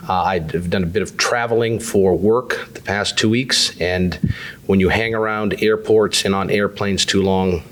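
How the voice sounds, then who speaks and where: Guy with a cold opening a town hall, lowish voice, chatty and self-deprecating, lots of uh's. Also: steady tone, measured pace, fairly bright tone. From New Hampshire. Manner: affable, self-deprecating speaker with frequent "uh" fillers. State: has a cold. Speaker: state insurance commissioner. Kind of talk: public town hall remarks.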